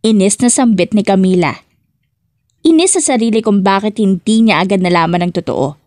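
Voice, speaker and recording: A woman's voice: medium pitch, animated, fairly quick, darkish tone, no echo, studio quality. A woman reading scripted dramatic narration as the narrator of an audiobook love story.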